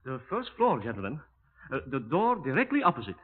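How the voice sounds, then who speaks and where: An actor playing an excitable, insistent Eastern European man in a 1950s radio drama. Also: medium pitch, brisk. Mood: smooth, polite. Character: agitated, accusing Eastern European man, accomplice turned betrayer. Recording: noisy, tinny 1950s radio broadcast.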